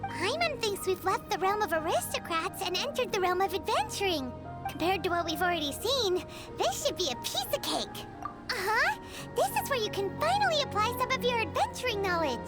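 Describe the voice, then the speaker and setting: A woman voicing a tiny, childlike, excitable female companion in a video game who refers to herself in the third person. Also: fairly quick. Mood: chirpy. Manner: talks about herself in the third person, squeaky and bouncy. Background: game music.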